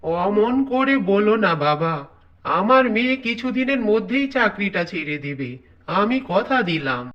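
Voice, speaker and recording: Cartoon casting: man as elderly father-in-law, soft-tempered and placating, high voice, dragging delivery. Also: very animated, lo-fi sound. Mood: pleading. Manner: slow, drawn-out, placating speech. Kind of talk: cartoon voice acting.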